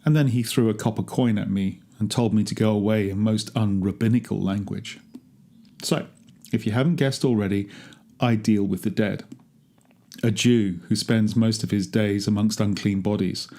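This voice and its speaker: Man reading as a wry, grumbling tomb gardener, mellow. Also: lowish voice, fairly even intonation, moderate pace, close to an everyday voice. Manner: dry, chatty, darkly humorous. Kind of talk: first-person dramatic monologue, read aloud.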